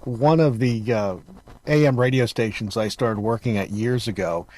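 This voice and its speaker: A man hosting a casual interview, speaking in reflective, halting phrases. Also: medium pitch, darkish tone, poor audio. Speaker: show host, radio broadcaster.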